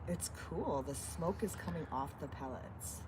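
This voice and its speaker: A man's voice: fairly high pitch, a little slow, a little mellow, low-quality recording. A young man co-hosting a casual outdoor livestream, making short offhand remarks away from the phone mic. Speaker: son, co-host. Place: outdoors in a front yard by a fire. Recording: audible outdoor noise, speaking away from the mic.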